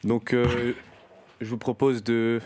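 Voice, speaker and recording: A man's voice: medium pitch, flat delivery, slow, a little mellow, a little hiss or hum. A man hosting a casual show, teasing and hyping up his guests. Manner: playful, teasing, hypes up the guests. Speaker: host. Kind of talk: casual banter on a radio-style show.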